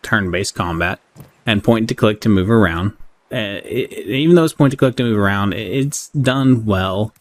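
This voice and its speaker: A man giving casual, unscripted game review commentary in a halting, conversational way. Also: fairly low pitch. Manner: halting, conversational, often checks in with "right?". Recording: clearly audible background noise.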